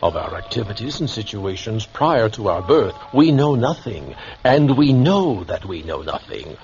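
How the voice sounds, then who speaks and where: Elderly male scholar, low voice, stately manners, radio play, muffled lo-fi recording. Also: sing-song, moderate pace, darkish tone, a little hiss or hum. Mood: solemn. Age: older. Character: courteous, solemn old male scholar of ancient legends. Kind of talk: vintage-style radio drama acting. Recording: dull lo-fi radio drama recording. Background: music.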